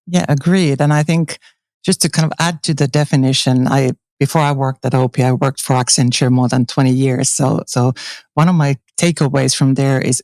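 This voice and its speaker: A woman's voice: a bass voice, a little mellow, dry recording. A woman answers interview questions earnestly. Her speech is full of fillers and restarts. The sound is dry and close, with no room. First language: Finnish. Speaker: interview guest, transformation coach. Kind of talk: conversational interview. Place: conference venue.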